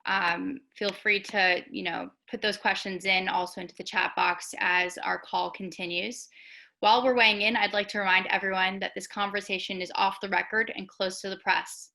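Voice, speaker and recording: A woman with a bright, edgy tone and a gravelly, fry-tinged texture, opening a webinar over a video call. She makes brisk announcements and hands over to the next speaker. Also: medium pitch, flat delivery, low-quality recording. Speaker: moderator.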